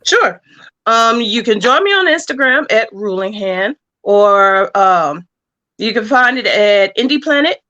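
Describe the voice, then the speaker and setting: A woman's voice: mid-range voice, lively intonation, unhurried, pushed and bright, dull audio. A woman speaking casually as an interview guest, explaining plans for a story as a comic book writer.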